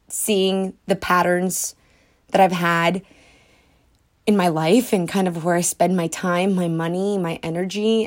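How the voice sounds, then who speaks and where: A woman in her twenties hosting a solo podcast, talking in a casual, dramatic, self-mocking way with frequent giggles. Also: fairly low pitch. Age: 20s. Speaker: podcast host.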